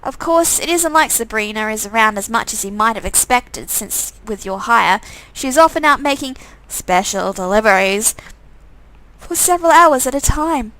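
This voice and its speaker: A woman's voice: a little high, expressive, normal speed, bright, forceful tone, low-quality recording. A woman reading a visual novel game aloud as its narrator, with a wry way of talking.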